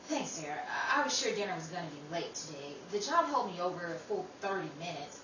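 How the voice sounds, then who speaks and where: A woman's voice: on the low side, sing-song, quick pace. A woman playing a sensible, protective working sister in an old-time radio style drama, motherly and emphatic. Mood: weary, practical. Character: Emily, sensible, protective young working sister, firm peacemaker. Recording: roomy, distant mic, noisy.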